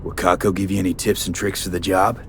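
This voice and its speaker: A man's voice: sits low, little pitch movement, quick pace, slight background noise, natural voice. A male actor playing a guarded young mercenary in a cyberpunk game, American, speaking in clipped, streetwise, matter-of-fact phrases. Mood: focused, tense. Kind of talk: video game voice acting.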